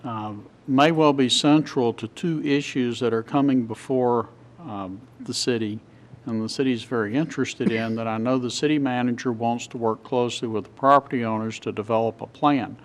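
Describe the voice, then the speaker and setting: Man losing his voice at a meeting. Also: medium pitch. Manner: measured, explains at length with restarts and apologies. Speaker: planning commission member. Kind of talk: public meeting discussion. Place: city council chamber.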